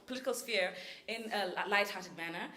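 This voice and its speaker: A woman's voice: medium pitch, brisk, bright, forceful tone, echoey room, slight background noise. A woman on a discussion panel, talking casually and laughing often while she explains. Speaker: cast member in a panel discussion.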